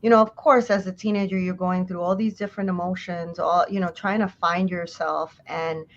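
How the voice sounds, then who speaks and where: A woman's voice: lowish voice, steady tone, moderate pace. A woman hosting a live online conversation, speaking casually with frequent fillers. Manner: conversational, many "you know" fillers. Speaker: host. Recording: dull lo-fi sound.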